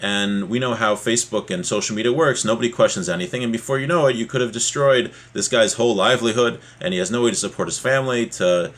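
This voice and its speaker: A man's voice: fairly low pitch, quick pace, few pauses, a bit bright. A man giving an informal religious lesson, explaining a text in a plain-spoken, conversational way with asides. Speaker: religious teacher giving a class.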